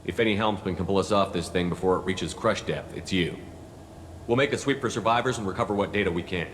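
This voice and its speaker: Actor as a deep-voiced, commanding starship commander. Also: male voice, quick pace, a little hiss or hum, lightly acted. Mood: resolute. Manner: decisive, authoritative orders. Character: commanding, resolute adult male spaceship commander. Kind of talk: video game cutscene dialogue.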